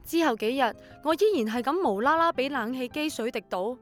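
A woman's voice: sits high, expressive, fairly quick, soft background music. An actress playing an easily flustered, skeptical young woman in a radio drama, with a Hong Kong accent. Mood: puzzled. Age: young adult. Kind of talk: Cantonese radio drama acting and first-person narration.

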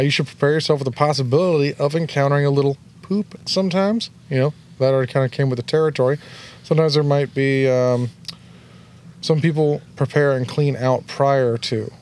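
A man's voice: medium pitch, a little mellow. A man co-hosting a podcast, explaining things in an easygoing, casual way. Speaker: podcast co-host. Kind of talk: casual chat.

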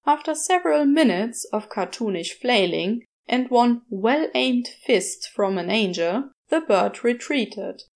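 A woman narrating a scripted story in a measured, expressive storytelling manner. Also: fairly high pitch. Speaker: podfic narrator.

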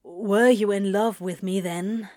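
A woman voicing a tender, insecure male lover who asks earnest, hesitant questions in a hushed audiobook style. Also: mid-range voice, steady tone, slow pace, no echo, clean studio sound, lightly acted. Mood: tentative, searching.

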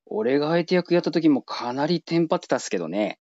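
A man's voice: a little high, sing-song, quick pace, darkish tone, dry recording, lo-fi sound. A man playing a flippant, nosy junior call-centre worker in a radio drama, talking in a slangy, teasing way. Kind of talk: Japanese radio drama acting.

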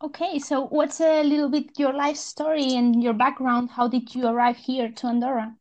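Host: woman, higher-pitched voice, talkative questions with restarts. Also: little pitch movement, normal speed, darkish tone. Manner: chatty, with slips and restarts. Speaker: interviewer, host. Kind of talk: interview questions.

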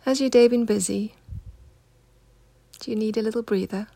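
A woman guides a meditation in a hushed, husky voice with a noticeable creak. She speaks gently and without hurry, soothing as she instructs. The sound is close and dry, with no room around it. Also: fairly high pitch, normal speed, dry recording. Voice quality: soft, breathy, husky, near-whispered.

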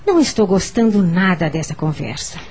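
Husky-voiced, anguished stubborn mother. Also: fairly low pitch, animated, brisk, darkish tone. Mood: indignant. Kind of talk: radio soap opera acting.

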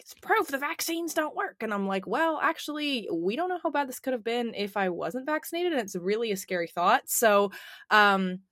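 A young woman in her twenties with a faint rasp from a lingering cough, chatting casually on a podcast. She fills her sentences with "like" and restarts, and her voice is at a medium pitch. The sound is dry and close, with no room echo. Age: 20s. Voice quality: slightly raspy. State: slightly raspy, recovering from a cough. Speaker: podcast co-host. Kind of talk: casual chat.

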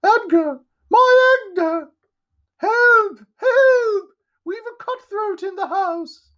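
Storybook lady, acted by a man: frantic mother, piercing shriek, extremely high pitch. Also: very slow, bright, forceful tone, muffled recording, heavily acted. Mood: panicked. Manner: shrill, screaming. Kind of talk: audiobook character voice.

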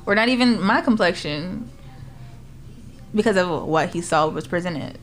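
A woman's voice: on the low side, expressive, a little slow, faint music behind. A woman guest on a casual podcast, speaking earnestly and backing up points with emphatic agreement. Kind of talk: casual chat.

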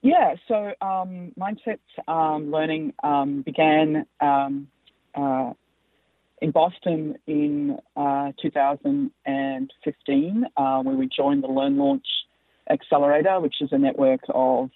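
A woman with an Australian accent, a company founder answering interview questions, speaking haltingly with many fillers. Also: sits low, no room sound. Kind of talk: interview over the phone. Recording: tinny dry phone line.

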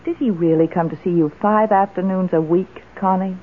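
An actress playing an elderly jilted wife, wistful, tipsy and resolute, in a 1970s radio drama. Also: female voice, fairly low pitch, steady tone, normal speed, narrow, tinny audio, close to an everyday voice. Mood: tipsy, rueful. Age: older. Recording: band-limited old radio drama recording.

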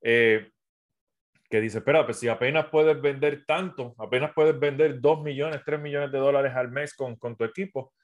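A man from Puerto Rico with a Puerto Rican accent softened by years in South America, giving business advice on a casual live stream, talking straight to his viewers with many fillers and restarts. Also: medium pitch, steady tone, normal speed. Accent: Puerto Rican Spanish with a South American mix. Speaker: entrepreneur and business coach. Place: at home, phone on a tripod.

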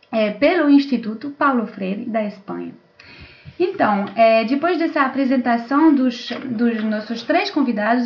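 A woman hosting a panel, introducing it in a semi-formal, somewhat halting way over an online call. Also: on the high side. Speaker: host and moderator. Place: remote video call. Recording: dull lo-fi online call audio.

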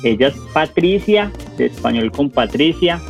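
A man's voice: on the high side, lively intonation, normal speed, gravelly, soft background music. A Colombian man hosting a podcast, chatting informally and asking friendly questions. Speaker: podcast host. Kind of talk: casual interview chat. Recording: dull, dry lo-fi sound.

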